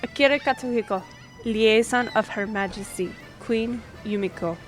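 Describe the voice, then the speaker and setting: A woman's voice: a little high, normal speed, faint music behind, natural voice. A woman playing a courteous half-elf royal liaison in a fantasy audio drama, speaking formally and explaining things with some hesitation. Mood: polite, formal.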